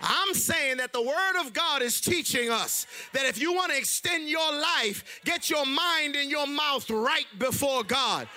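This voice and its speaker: A man preaching a sermon in a church, emphatic and loud, mixing humor with exhortation. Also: extremely high pitch, animated, unhurried, few pauses. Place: church sanctuary.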